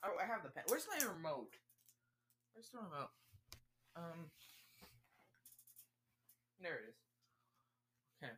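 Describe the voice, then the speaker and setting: A man's voice: a little high, very animated, slow, pauses often, fairly bright tone, slight background noise. A man rambling and thinking aloud as he watches a show and takes notes, stopping often to correct himself. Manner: distracted, mutters words while writing them down. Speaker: video creator taking notes on a show. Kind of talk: casual live commentary while note-taking. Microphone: desk microphone, level changing during recording.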